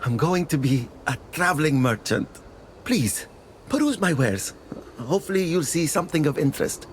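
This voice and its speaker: A man's voice: fairly high pitch, big pitch swings, moderate pace, slight background noise. A man playing a polite, wry scavenger turned traveling merchant in a video game, courteous and wordy. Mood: polite, upbeat. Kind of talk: dramatic video game acting.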